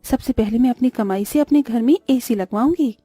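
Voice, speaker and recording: A poor, dutiful young daughter from a moral-story cartoon, acted by a woman. She speaks earnestly and gently in a high, very mellow voice, recorded dry over music. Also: moderate pace, husky, no room sound. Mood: wistful, hopeful.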